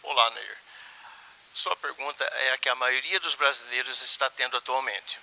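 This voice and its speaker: Actor as a forceful, formal government minister. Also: male voice, mid-range voice, moderate pace, creaky, low-quality recording. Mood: official reassurance. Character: smug, reassuring male government minister giving statement. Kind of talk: mock TV news interview.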